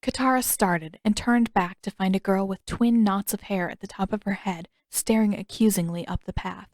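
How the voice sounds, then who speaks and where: A woman's voice: medium pitch, normal speed, darkish tone, dry recording. Female fiction narrator, medium register, close dry studio-like sound, scripted storytelling read. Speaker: audiobook narrator.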